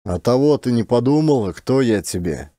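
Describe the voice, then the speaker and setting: A man's voice: mid-range voice, expressive, normal speed, dark tone, no room sound, clean studio sound, close to an everyday voice. A man voicing a simple, good-natured, grumbling peasant hunter with rustic, folksy speech, in an audiobook. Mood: reproachful grumbling.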